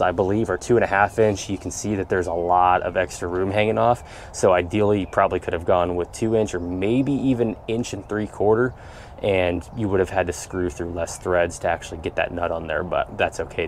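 Low-pitched male vlogger, methodical and practical how-to delivery, recorded outdoors with faint background noise. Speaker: vlogger showing his truck build. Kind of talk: casual how-to explanation.

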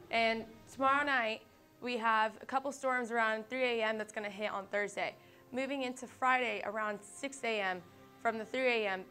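A young woman, a student meteorologist, giving a weather forecast and chatting in a campus TV studio, eager and a little halting. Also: medium pitch, a bit bright. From United States. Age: young adult.

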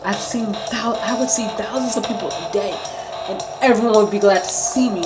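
A woman's voice: medium pitch, low-quality recording. Indignant woman venting to her phone. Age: 30s. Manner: indignant, emphatic, slangy venting with mimicked quotes. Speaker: vlogger. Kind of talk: casual storytelling rant to camera. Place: small bedroom, indoors. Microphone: phone at arm's length.

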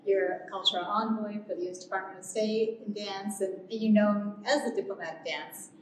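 A woman's voice: mid-range voice, steady tone, normal speed. A woman around forty, hosting a conversational interview in a gallery, often restarting her phrases. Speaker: interviewer, host. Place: indoor museum gallery. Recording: roomy echo, distant mic, faint noise.

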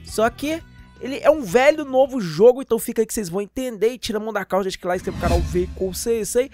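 A man's voice: very high voice, animated, fast talker, a little mellow. Chatty Brazilian man over music. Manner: chatty, jokey, informal. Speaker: gaming channel host. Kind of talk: casual, slangy game update voice-over.

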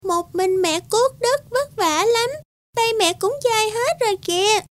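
Tiny squeaky cartoon village girl, childlike n insistent, really high, dry sound. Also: measured pace, no room sound. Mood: caring. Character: sweet little village girl who grows lazy and whiny. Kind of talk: animated fairy tale voice acting.

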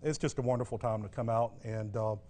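A man's voice: medium pitch, steady tone, fast talker, dark tone. A middle-aged man giving a casual, instructive cooking demonstration as a guest barbecue cook, in a plain-spoken way. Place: TV studio kitchen set.

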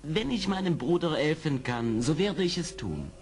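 An actor playing an earnest, determined army major in an audio drama, polite and articulate. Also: male voice, fairly high pitch, expressive, normal speed, a little mellow. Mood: courteous. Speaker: actor in a radio play. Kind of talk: 1970s German audio drama acting.

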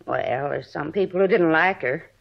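An American actress playing a gossipy, plain-spoken middle-aged married neighbor in a 1950s radio drama. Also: female voice, low-pitched, normal speed, creaky, slightly rough, exaggerated voice. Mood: gossipy, suspicious. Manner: folksy, colloquial, gossipy. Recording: dull vintage radio recording.

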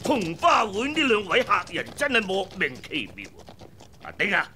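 An actor playing a proud, stern martial-arts master and manor lord in a Hong Kong Cantonese radio drama. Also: male voice, high-pitched, sing-song, moderate pace, sharp tone, slight background noise, full character voice. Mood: indignant, brooding.

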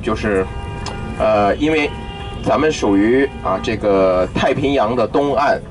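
A man's voice: on the low side, measured pace, over music. A man giving explanatory tour commentary to a group as their guide, often punctuating his phrases with little filler sounds. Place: in a vehicle moving through city traffic.

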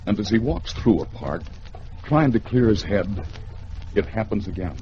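A man with an American accent narrating a suspenseful 1950s radio drama in theatrical, measured phrases. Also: fairly low pitch, big pitch swings. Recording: noisy, dull vintage radio recording.

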